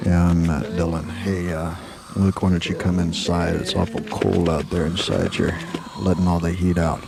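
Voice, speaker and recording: A man playing a laconic, easygoing frontier marshal in a western radio drama, with a halting, folksy, gravelly delivery. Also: sits low, little pitch movement, crowd noise. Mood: businesslike. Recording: clearly noisy old 1984 amateur recording.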